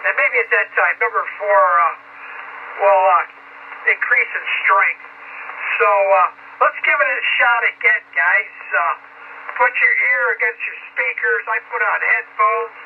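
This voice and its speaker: A man working as an amateur radio operator, chatting casually and explaining things haltingly to other stations over the radio. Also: high-pitched. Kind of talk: casual ham radio chat, relaying between stations. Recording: tinny shortwave radio transmission with static.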